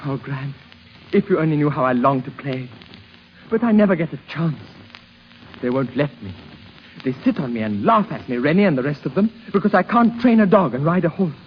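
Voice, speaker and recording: A man's voice: a little high, animated, brisk, natural voice. Guy acting shy earnest young lad, voice goes up and down a lot, muffled old radio play. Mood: passionate, yearning. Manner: earnest, hesitant, emotional. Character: sensitive, yearning young musician grandson, shy but passionate. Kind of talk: 1950s radio drama acting. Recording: muffled, hissy 1950s radio recording.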